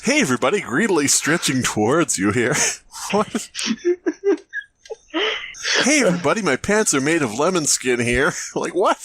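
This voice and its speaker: A man co-hosting a podcast, reading fan fiction mock-dramatically with casual, expressive banter and frequent riffing asides. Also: fairly high pitch, normal speed, pauses often, husky. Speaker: podcast co-host.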